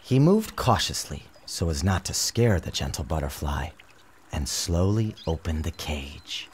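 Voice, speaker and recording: A man's voice: rumbling low, a little slow, a little hiss or hum. A man giving a dramatic, theatrical scripted narration of a storybook, with music behind him. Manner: theatrical, expressive. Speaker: author narrating his storybook.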